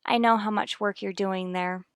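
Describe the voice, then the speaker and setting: A woman's voice: mid-range voice, fairly even intonation, moderate pace, smooth, no echo, close to an everyday voice. An actress playing an anxious but determined young married mother in a vintage radio soap, speaking formally and politely in a dry recording. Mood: pleading, insistent.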